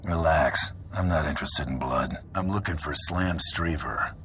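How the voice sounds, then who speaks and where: An actor playing a cool, tough bounty hunter in a video game, laconic, calm and hard-boiled. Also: male voice, very deep voice, normal speed, slight background noise. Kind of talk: video game character acting. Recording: music behind the voice.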